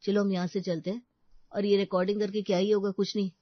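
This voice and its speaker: A woman's voice: mid-range voice, little pitch movement, fast, no room sound, dull audio, pitched below the performer's own voice, close to an everyday voice. A woman voicing an impatient, worried teenage son who protests, in a story. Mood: exasperated.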